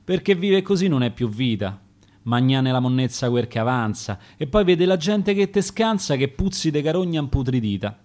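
A man's voice: medium pitch, sing-song, normal speed, darkish tone, lightly acted. A man with a Roman accent voicing a bitter, blunt dead homeless woman.

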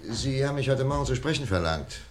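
An actor playing a calm, probing FBI inspector in a radio crime drama, matter-of-fact, patient and insistent. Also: male voice, mid-range voice, quick pace, natural voice.

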